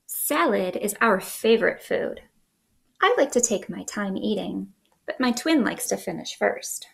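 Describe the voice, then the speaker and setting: A woman in her thirties hosting a children's story time, reading aloud in an expressive, sing-song way at home. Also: mid-range voice, a little slow, creaky. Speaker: children's librarian, storytime host. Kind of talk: picture book read-aloud for young children. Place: small living room indoors.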